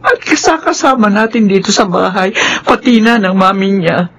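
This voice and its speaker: A woman's voice: medium pitch, expressive, moderate pace, slight background noise, close to an everyday voice. An actress playing a resentful, anxious grandmother in a Filipino radio drama, plaintive and emotional as she mourns. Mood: bitter regret. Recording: music bed under the voice.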